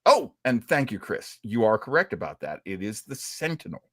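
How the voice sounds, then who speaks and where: A man's voice: lowish voice, big pitch swings, moderate pace, slightly rough, dry recording, studio quality. A man co-hosting a retro radio show, chatting casually and enthusiastically in a rambling fan style. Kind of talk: casual live-stream chat about old radio serials.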